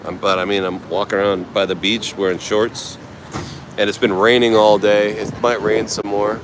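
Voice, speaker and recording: Low-voiced American man chatting on a noisy street. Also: flat delivery, normal speed. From United States, California. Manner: rambling, laid-back, chatty. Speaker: travel livestreamer. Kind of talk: casual livestream chat, answering viewers. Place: outdoors at a night market by the beach. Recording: noisy outdoor street recording.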